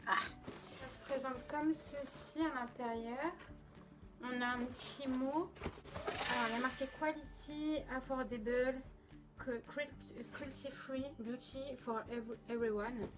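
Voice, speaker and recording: A woman's voice: high voice, fairly even intonation, measured pace, over music, low-quality recording. A young woman with a slight cold in her throat, chatting casually and giggling as she unboxes makeup. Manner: chatty, filler-heavy, giggly. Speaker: beauty vlogger.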